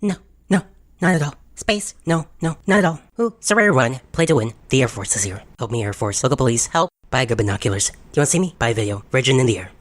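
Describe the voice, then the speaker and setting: A man voicing an excitable robot in a video game parody, babbling in a disjointed, repetitive way. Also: mid-range voice, fast, dry recording, close to an everyday voice. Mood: excited babbling. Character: excitable, babbling male robot sphere speaking nonsense.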